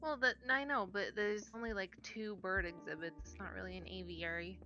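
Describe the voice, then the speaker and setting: Woman, bright crisp tone, matter-of-fact, slightly muffled voice-chat mic. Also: medium pitch, fairly even intonation, a little fast, faint music behind. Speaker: gaming group member. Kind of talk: casual chat over voice call.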